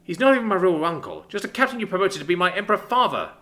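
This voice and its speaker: A man's voice: high-pitched, big pitch swings, very fast, voice pushed up, full character voice. A man reading a story aloud, voicing a playful young woman who calls out and bickers with her father. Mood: sulky. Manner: bright, raised, exclaiming. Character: playful young woman, daughter, quick to scold.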